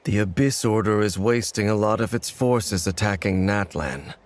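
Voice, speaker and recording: An actor playing a brooding, coldly determined warrior in a video game, speaking in a measured, solemn, formal way. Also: male voice, lowish voice, close to an everyday voice. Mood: grave, suspicious. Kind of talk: English video game voice acting. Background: background music.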